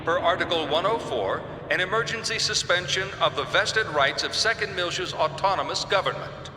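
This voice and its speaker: Game orator male actor: accusatory, formal speech, echoing chamber, clear noise behind. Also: sits high, normal speed, close to an everyday voice. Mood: grave, accusing. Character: accusatory male senator making a formal motion. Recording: noisy echoey chamber, long reverb.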